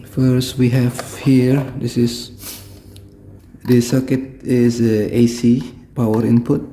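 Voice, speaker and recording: A man with an Indonesian accent giving a hands-on repair tutorial in halting, simple phrases. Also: mid-range voice, flat delivery, warm tone, slight background noise. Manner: halting, short phrases in simple non-native English.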